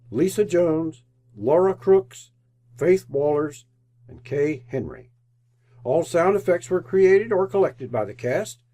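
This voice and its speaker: A man's voice: fairly high pitch, warm tone. A man narrating and announcing a scripted old-time radio drama with theatrical storytelling and dramatic emphasis.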